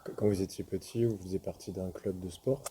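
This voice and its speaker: A man interviewing a couple, asking halting, gentle prompting questions. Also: low voice, steady tone, slight background noise.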